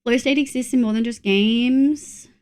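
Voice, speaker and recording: A young woman with a slightly high voice talks casually with her viewers, thinking aloud with little fillers. She sounds a bit congested from a cold. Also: a little slow, a little mellow. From Australia. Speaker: streamer. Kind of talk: casual chat reading viewer messages.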